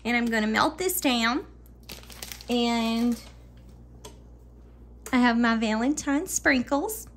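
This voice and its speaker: A woman's voice: on the high side, slow pace, long pauses, fairly bright tone, a little hiss or hum. Chatty woman baking in her home kitchen. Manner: chatty, upbeat, talks straight to viewers. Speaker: baking vlogger. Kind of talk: casual baking tutorial.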